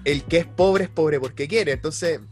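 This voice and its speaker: Chilean man, slightly high voice, earnest, stumbling restarts, faint music behind. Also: moderate pace, a little mellow. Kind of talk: casual political chat.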